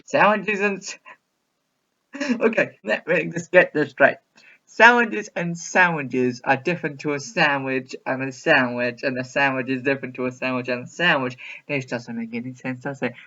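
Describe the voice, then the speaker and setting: A man's voice: medium pitch, normal speed, pushed and bright, highs cut off. A man giving casual, rambling video commentary in a chatty, repetitive, mock-baffled way. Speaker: reaction video host.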